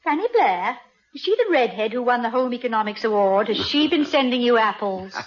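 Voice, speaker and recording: An actress playing a warm, affectionate, gently teasing stage actress in love, in a radio drama. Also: female voice, a little high, sing-song, fairly quick, background noise. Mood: amused, reminiscing. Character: warm, playful English stage actress, the sweetheart. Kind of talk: 1950s radio drama acting. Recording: dull old radio broadcast, music behind.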